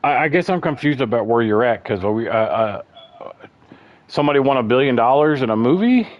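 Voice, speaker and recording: A man with a bassy voice hosting a gaming livestream, chatting casually and rambling through stories. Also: lively intonation, a little fast, no room sound, dull audio. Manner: rambling, chatty storyteller, calls people bro. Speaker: livestream host. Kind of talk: casual chat.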